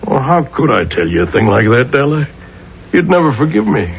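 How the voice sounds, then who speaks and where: An actor playing a troubled, defensive married man, halting and emotional, in a 1970s radio drama. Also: medium pitch, sing-song, vocal fry, slight background noise. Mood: ashamed, subdued. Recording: dull lo-fi 1970s radio recording.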